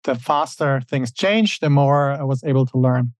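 A man's voice: medium pitch, moderate pace, no room sound. German-raised man, dry close sound. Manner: thoughtful, many fillers and restarts. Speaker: podcast guest. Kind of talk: casual interview answers.

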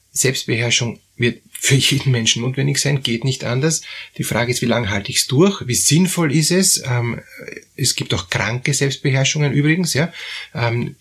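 A man hosting an advice podcast, talking in a halting way with many fillers and repeated words. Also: medium pitch, fairly bright tone, breathy edge. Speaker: therapist host. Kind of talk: advisory podcast talk.

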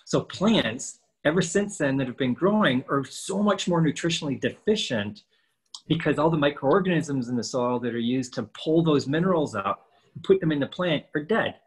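A man's voice: fairly high pitch, animated, normal speed, slightly rough, rough recording. A man in his fifties co-hosting a casual podcast, explaining training and nutrition with lots of rhetorical questions. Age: 50s.

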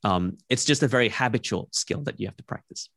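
Young man from Malaysia, low voice, dry close sound, reflective interview guest. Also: fairly bright tone, dry recording. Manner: thoughtful, measured, frequent "like" and restarts. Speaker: podcast guest.

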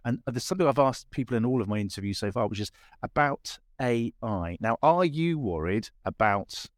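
A man's voice: mid-range voice, expressive, moderate pace, dry recording, studio quality. A man hosting a podcast interview, asking long, hesitant questions full of ums and restarts. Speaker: podcast host.

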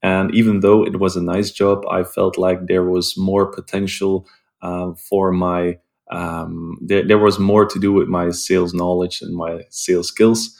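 A young man from Belgium, a sales rep, calmly answering interview questions with frequent ums and uhs. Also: deep voice, measured pace. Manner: calm, hesitant with frequent ums. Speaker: guest, sales development rep.

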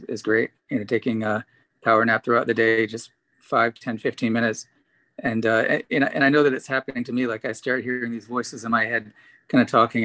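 A man's voice: medium pitch, fairly bright tone. Casual male podcast host. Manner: casual, many fillers like "you know". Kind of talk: podcast interview.

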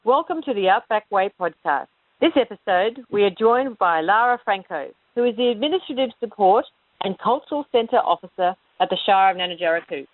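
A woman's voice: medium pitch, animated, fairly quick, dry recording. A woman hosting a podcast interview, encouraging her guest with frequent short affirmations. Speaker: podcast host. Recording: dull lo-fi dry sound.

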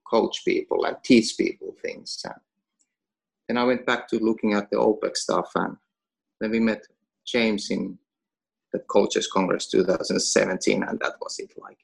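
A man's voice: fairly low pitch, gravelly, slightly rough, poor audio. A Finnish man who is a gym owner and coach, chatting casually in English with a Finnish accent and laughing between phrases. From Finland. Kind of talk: casual podcast conversation.

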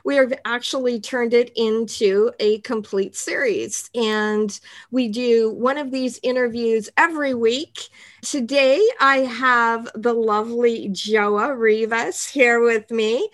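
A woman from Canada hosting an interview series, greeting and questioning her guest in a friendly, unhurried way. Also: a little high, steady tone. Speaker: podcast interviewer, CEO. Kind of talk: interview conversation.

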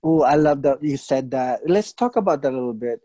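A man of Filipino origin hosting a podcast, friendly and halting, with frequent fillers. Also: mid-range voice, lively intonation, warm tone, no echo. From Philippines. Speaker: podcast host. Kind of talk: casual interview hosting.

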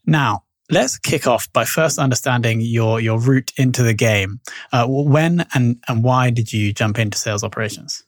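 A man's voice: medium pitch, moderate pace, no room sound. Dry-sounding male podcast host. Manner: polished, friendly interviewer with small stumbles. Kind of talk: podcast interview.

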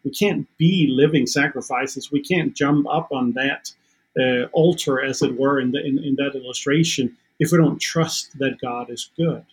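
A man's voice: medium pitch, slightly rough, low-quality recording. A man whose first language is Danish, earnestly explaining his faith in a conversation, often restarting his sentences. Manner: earnest, halting with repeated restarts. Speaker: guest counselor.

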